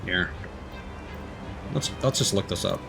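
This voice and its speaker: A man's voice: low-pitched, a little slow, creaky, a bit bright, faint music behind. A man giving casual, laid-back live commentary as he plays a video game, explaining things as he goes.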